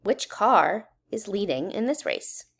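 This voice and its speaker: A woman reads a simple children's book aloud as an educator would. Her mid-range voice moves up and down in a very animated way, with measured, instructional phrasing aimed at young listeners. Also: medium pitch, vocal fry, husky. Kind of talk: children's read-aloud narration.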